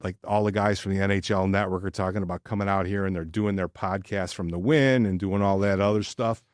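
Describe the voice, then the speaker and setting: A man's voice: sits low, fairly even intonation, brisk, dry recording, studio quality. A man co-hosting a sports podcast, chatting casually and giving opinions in informal, sometimes profane language.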